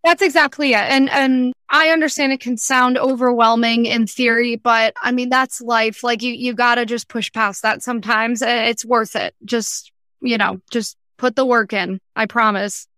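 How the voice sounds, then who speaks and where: Bright, high-voiced young woman. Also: fairly even intonation, normal speed, no room sound, studio quality. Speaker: podcast guest, freelance entrepreneur. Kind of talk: casual interview chat.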